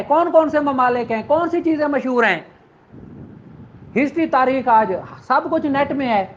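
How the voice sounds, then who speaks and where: A man giving a slow, deliberate classroom law lecture, addressing his exam students in a fatherly way. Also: very high-pitched. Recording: muffled lo-fi recording, faint noise.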